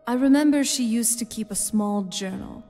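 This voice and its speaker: A woman's voice: fairly high pitch, moderate pace, dark tone, natural voice. An actress playing a curious young female vampire in a video game, speaking in a conversational, wondering way. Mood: thoughtful, focused. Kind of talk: video game character dialogue. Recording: long hall reverb, music behind.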